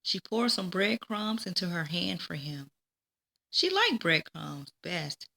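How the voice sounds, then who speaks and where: A woman reading a children's storybook aloud, with expressive, deliberate phrasing. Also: lowish voice, vocal fry. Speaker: storybook reader. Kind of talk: children's read-aloud narration.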